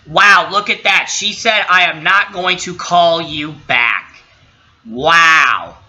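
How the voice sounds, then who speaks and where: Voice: medium pitch, slow, sharp tone. An indignant commentator giving impromptu, opinionated reactions, swearing and stressing points emphatically. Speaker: commentator reacting to a recorded call.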